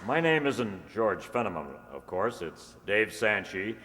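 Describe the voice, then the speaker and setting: A man's voice: on the low side, very animated, a little slow, a crowd in the background. A man announcing the credits of a live stage show as its master of ceremonies. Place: live hall before an audience. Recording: echoey hall with noisy audience. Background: audience noise.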